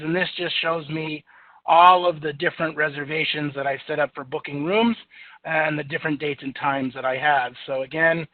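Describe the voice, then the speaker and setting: A man with a booming voice walking through a software demo in an easygoing, chatty way with lots of fillers. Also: no echo. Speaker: software demonstrator. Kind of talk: software screen-share demo. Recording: tinny phone-like audio, dry.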